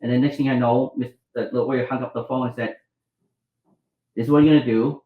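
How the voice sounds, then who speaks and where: A man's voice: medium pitch, fairly even intonation, brisk, reverberant, phone-quality sound, a little hiss or hum. Rambling storyteller man from Vietnam. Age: middle-aged. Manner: rambling, restarts, acts out quoted dialogue. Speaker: guest, doctor and author. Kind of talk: storytelling interview.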